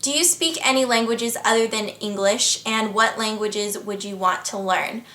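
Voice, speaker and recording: Young woman, American accent, twenties, casual vlog chat, earnest, upbeat, slightly high voice, echoey room. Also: moderate pace, pushed and bright. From United States. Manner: chatty, earnest and upbeat, with frequent laughs. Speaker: vlogger. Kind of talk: casual chat to camera, answering viewer questions. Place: at home, indoors.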